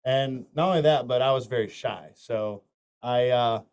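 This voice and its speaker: A man from north Florida tells stories at an easy, conversational pitch. His voice is smooth and resonant. He rambles through anecdotes, restarting phrases and dropping in plenty of "um"s and "you know"s. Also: moderate pace, a little mellow. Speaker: country singer, interview guest. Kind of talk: casual storytelling interview.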